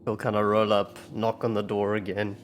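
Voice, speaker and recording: Low-voiced man playing a tabletop game. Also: monotone, moderate pace, soft background music. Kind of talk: casual tabletop roleplay chat.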